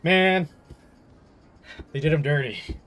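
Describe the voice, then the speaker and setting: A man's voice: a little high, slow pace, a bit bright. A man giving casual gameplay commentary, musing aloud and rambling as he plays. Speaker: game streamer. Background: game music.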